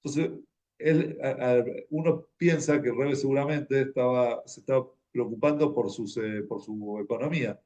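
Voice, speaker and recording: A man with an Argentine Spanish accent giving an informal religious talk, telling anecdotes in a halting, conversational way and repeating words as he goes. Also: medium pitch, dark tone. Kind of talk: informal sermon, anecdotal storytelling.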